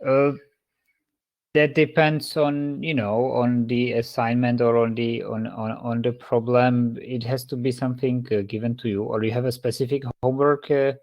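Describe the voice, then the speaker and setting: A man's voice: medium pitch, level tone, normal speed, muffled recording. A man with a Czech accent giving an online university lecture over a video call, stopping and restarting often with many fillers. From Czech Republic.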